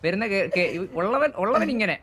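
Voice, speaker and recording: A man's voice: high-pitched, expressive, moderate pace, fairly bright tone. A man chatting casually in an online role-play game. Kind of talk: casual chat during GTA role-play.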